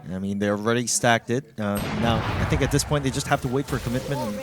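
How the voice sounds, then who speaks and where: Measured male esports analyst, mid-pitched. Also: noisy background. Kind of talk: live esports analysis commentary.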